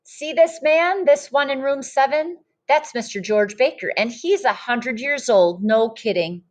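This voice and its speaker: Storybook child narrator, played by a woman: admiring, eager, emphatic, unhurried pace. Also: sits high, expressive, voice pushed up. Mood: proud. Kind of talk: children's picture-book read-aloud.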